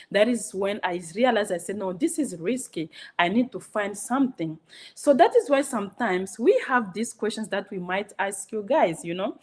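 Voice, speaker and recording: A woman's voice: medium pitch, a little mellow. A woman from Congo, a guest speaker giving an earnest, persuasive motivational talk with rhetorical questions.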